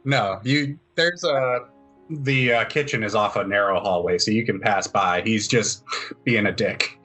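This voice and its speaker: A man runs a tabletop roleplaying game as its game master, with a lowish voice and an unhurried pace. His narration is casual and conversational. Music plays behind him. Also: pushed and bright, breathy edge.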